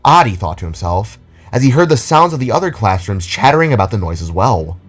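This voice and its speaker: Man, audio drama narrator, scripted storytelling, lively intonation, slightly deep, background music. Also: brisk.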